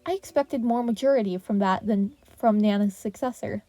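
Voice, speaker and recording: A woman voicing an indignant male teacher in a story reading, sharp and commanding as he lectures a colleague. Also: medium pitch, normal speed, dry recording, close to an everyday voice. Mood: dismissive.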